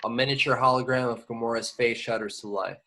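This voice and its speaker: A man's voice: mid-range voice, steady tone, quick pace, pushed and bright. A man reading scripted action descriptions as narrator of an amateur table read. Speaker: reader of stage directions.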